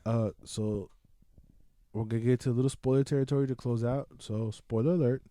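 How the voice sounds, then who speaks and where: A man talking casually and excitably, with slang and swearing, as he hosts a movie review podcast. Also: medium pitch, fairly quick, no echo. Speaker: podcast host.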